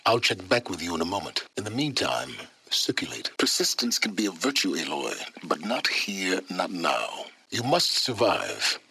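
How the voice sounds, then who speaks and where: A man's voice: low-pitched, big pitch swings, moderate pace, gravelly, pushed and bright, slightly rough, heavily acted. An actor playing a secretive, learned middle-aged man in a video game, imperious and condescending, with formal, precise diction. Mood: urgent, commanding. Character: secretive, learned older male wanderer, imperious and impatient. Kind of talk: video game character dialogue.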